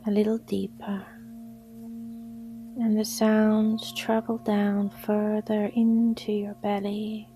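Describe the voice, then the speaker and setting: Very slow, hushed woman guiding meditation. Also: mid-range voice, completely flat delivery, faint music behind. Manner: soothing, hushed, gentle. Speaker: yoga nidra guide. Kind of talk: guided sleep meditation. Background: gongs and singing bowls.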